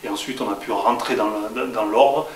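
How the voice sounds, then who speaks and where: A man's voice: medium pitch, moderate pace, far from the mic. A man from southwest France answering interview questions as a franchise shop owner. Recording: echoey room, off-mic, faint hiss.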